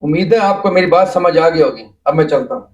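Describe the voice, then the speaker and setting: A fairly high-pitched man acting as a young boy's devoted father. He speaks earnestly and deliberately, as in a moral family drama, caring yet firm. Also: expressive, highs cut off, natural voice. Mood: firm, protective. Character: indulgent father of a young boy, respectful but firm son.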